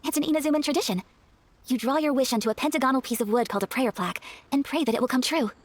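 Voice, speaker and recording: A woman voicing a gracious young noblewoman in a video game, speaking politely and gently with formal, earnest phrasing. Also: high voice, racing. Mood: tender, earnest.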